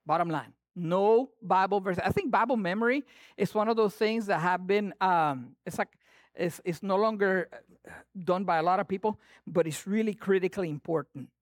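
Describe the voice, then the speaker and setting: Man, pastor, Latin American Spanish accent, high voice, dry close sound, animated sermon, loud and hushed by turns. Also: normal speed, no room sound.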